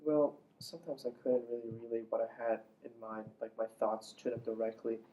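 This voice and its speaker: A man's voice: mid-range voice, fairly even intonation, a little fast, dull audio. A young man from Iran, a native Farsi speaker, giving earnest, slightly formal explanatory answers as an interview guest. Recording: distant mic, faint background noise.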